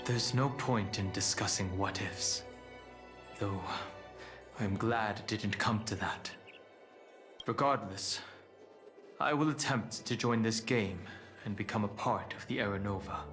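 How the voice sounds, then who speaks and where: An actor playing an earnest young dragon guardian in a video game, solemn and deliberate. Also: male voice, fairly low pitch, lightly acted. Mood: calm resolve. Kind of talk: dramatic video game voice acting. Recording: game music behind voice.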